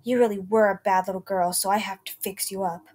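A woman voicing a menacing male toy maker who turns from calm to raving and growls his threats. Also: medium pitch, quick pace, natural voice. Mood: cold.

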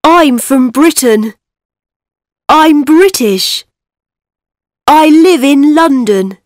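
Slow, high-voiced woman reading drills. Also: expressive, no echo, clean studio sound. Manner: slow, careful model reading. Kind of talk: textbook audio dialogue.

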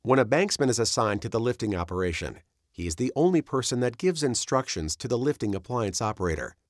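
A man reading scripted instructional narration as a training presenter, in a measured, instructive manner. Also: medium pitch, very animated, no room sound, clean studio sound.

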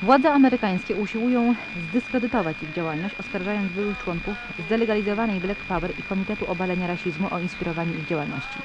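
A woman's voice: medium pitch, fast, few pauses, dull audio, close to an everyday voice. A woman playing a solemn radio newsreader, reading a bulletin in a formal, serious manner. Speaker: radio news presenter. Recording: noisy, humming shortwave radio broadcast.

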